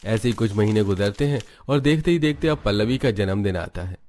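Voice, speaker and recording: A man reading scripted narration for an animated family story. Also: fairly low pitch, a little fast.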